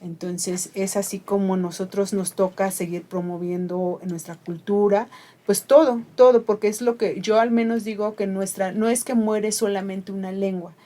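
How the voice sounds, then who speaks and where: A woman's voice: medium pitch, fairly even intonation, moderate pace, warm tone. A middle-aged Mixe woman with a Mixe accent and Ayuujk as her first language, talking earnestly and reflectively on a radio program, with frequent fillers. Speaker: radio host. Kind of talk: conversational radio talk.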